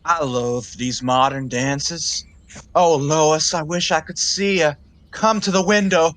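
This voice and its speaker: A man's voice: fairly high pitch, steady tone, takes it slowly, a bit bright, no room sound. An actor playing an earnest, lovestruck, rather pompous young man in a 1920s comedy audio play, speaking in a florid, self-serious way. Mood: stuffy.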